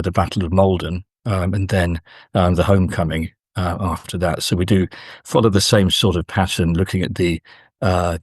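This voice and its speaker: A man speaking as an academic interview guest, explaining in measured, halting phrases with frequent pauses. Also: deep voice, breathy edge, no echo, clean studio sound.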